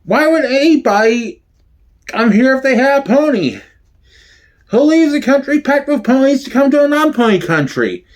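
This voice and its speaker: A man's voice: extremely high pitch, very animated, moderate pace, pitched above the performer's own voice. A man voicing a neurotic male comedian in a sitcom reading, protesting in disbelief with one rhetorical question after another. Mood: defensive, incredulous. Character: neurotic young male comedian, whiny, defensive, incredulous.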